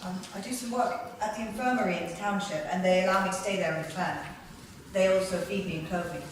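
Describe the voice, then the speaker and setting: A woman's voice: medium pitch, fast talker, natural voice. Woman as an earnest orphan boy, very echoey. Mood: earnest, eager. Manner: polite, hesitant, formal phrasing. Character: earnest, polite, curious young orphan boy. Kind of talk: table read of a film script. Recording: very echoey room, distant mic, faint noise.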